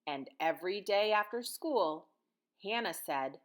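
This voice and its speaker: A woman's voice: mid-range voice, lively intonation, a little slow. An American woman in her thirties, a teacher reading and talking to young children in simple, guiding phrases. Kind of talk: picture-book read-aloud and lesson for children.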